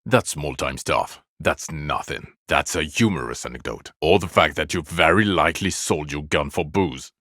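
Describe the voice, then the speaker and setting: An actor playing a bitter, exasperated police detective in a video game, sardonic and accusatory, scolding a drunk partner. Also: male voice, extremely low pitch, moderate pace, few pauses, pushed and bright, dry recording, studio quality, exaggerated voice. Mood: bitter, sarcastic. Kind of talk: dramatic game dialogue, scolding.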